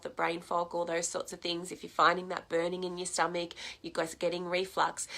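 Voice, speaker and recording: A woman's voice: fairly low pitch, vocal fry, sharp tone. A woman hosting a health vlog, sounding snuffly from a cold, chatty and encouraging with frequent ums. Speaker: health series host. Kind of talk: casual health vlog advice.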